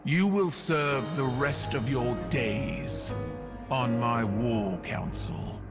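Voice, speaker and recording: An actor voicing a severe, commanding alien war leader in a video game, with deliberate, ceremonial delivery. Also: male voice, mid-range voice. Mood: imperious. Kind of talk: video game dramatic dialogue. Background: music.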